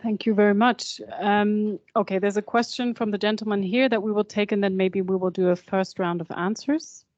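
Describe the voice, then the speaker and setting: A woman's voice: mid-range voice, steady tone, moderate pace, few pauses, darkish tone, no room sound. Woman running a workshop session, lots of ums, dry dull mic sound. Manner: hesitant, many fillers and restarts. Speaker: moderator. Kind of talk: moderating a workshop.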